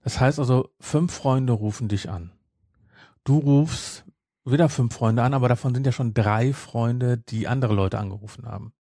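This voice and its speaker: Man, middle-aged, podcast co-host, amused casual chat, dark relaxed timbre, dry sound. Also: mid-range voice, moderate pace, dry recording. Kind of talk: casual banter about an audio drama series.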